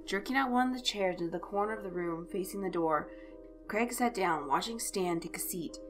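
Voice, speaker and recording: A woman reading a story aloud as an expressive narrator, telling it in character-driven prose. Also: mid-range voice, fairly quick. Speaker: fan fiction narrator. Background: background music.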